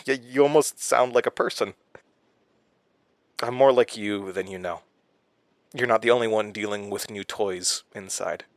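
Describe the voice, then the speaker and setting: An actor playing a haunted, weary, wry man who confides his story haltingly in a horror audio drama. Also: fairly low pitch, dry recording. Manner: hesitant, confessional, halting. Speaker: lead character and narrator of a horror audio drama. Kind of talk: horror audio drama acting, narration and intimate dialogue.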